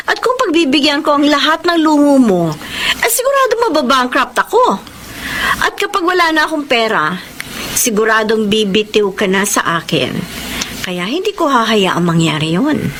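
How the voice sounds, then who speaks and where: A woman's voice: high voice, big pitch swings, normal speed, a bit bright. A woman acting a possessive, controlling financier and mistress in a Filipino radio drama. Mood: firm, exasperated. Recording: very noisy ambience behind voice.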